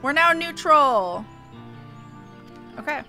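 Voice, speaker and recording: Bright-voiced woman streaming a game. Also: sits high, animated, slow, faint music behind. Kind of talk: casual gameplay commentary.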